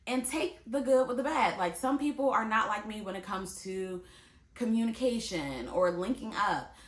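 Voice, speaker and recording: A woman's voice: mid-range voice, animated, moderate pace. A woman in her late twenties talking casually and chattily to her viewers, addressing them directly and laughing easily. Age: 20s. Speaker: vlogger. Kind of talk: casual talk to camera. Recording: echoey room.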